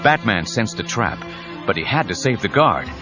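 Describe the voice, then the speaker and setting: A man's voice: fairly low pitch, very animated, moderate pace. A man narrating an action audio drama with urgent, suspenseful scripted delivery. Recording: dull cassette audio with dramatic music.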